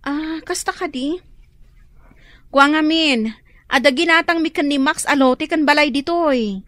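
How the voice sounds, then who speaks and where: An elder sister who is upbeat but defensive. Her voice is high and sounds dry and close. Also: unhurried, a bit bright, no echo. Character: elder sister abroad, proud of new house. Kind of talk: radio drama acting.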